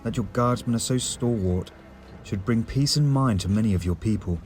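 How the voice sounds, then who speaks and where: A man's voice: lowish voice, normal speed, darkish tone, faint music behind, natural voice. A man playing a solemn, courteous warrior priest in a fantasy audio drama, using formal, archaic phrasing. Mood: courteous, approving.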